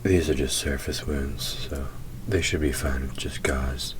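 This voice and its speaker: An ancient, proud demon, played by a man, with an extremely deep voice. He murmurs slowly and deliberately, nearly whispering, gruff yet tender underneath. It is an intimate audio roleplay with clear background noise. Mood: gentle, soothing. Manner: slow, deliberate, softly murmured, often near a whisper. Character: centuries-old male demon, proud, gruff but tender.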